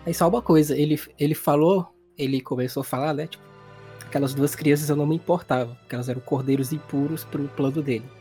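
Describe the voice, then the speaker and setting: Higher-pitched Brazilian man narrating as game master. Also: fairly even intonation, fast, mellow, faint music behind. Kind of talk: narrating a tabletop role-playing game.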